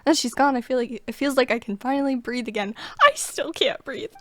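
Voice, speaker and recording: A woman's voice: high-pitched, a little fast, pushed and bright, dry recording. A woman giving casual reaction commentary over a game, dramatic, gushing and easily flustered, with game music behind. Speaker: gaming streamer.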